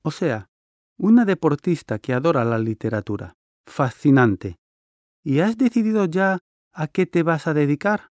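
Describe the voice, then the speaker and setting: A man playing a curious, lively interviewer. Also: fairly high pitch, moderate pace, dry recording, clean studio sound, natural voice. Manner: curious, polite questioning. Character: friendly adult male interviewer. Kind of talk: scripted dialogue for a listening test.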